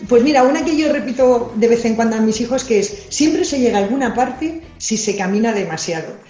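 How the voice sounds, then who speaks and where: Woman from madrid, mellow tone, chatty and candid, roomy dull lo-fi recording. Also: on the high side, expressive, brisk, faint music behind. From Madrid, Spain. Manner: chatty, candid, self-deprecating. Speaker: guest, doctor and science communicator. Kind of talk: casual quiz answers.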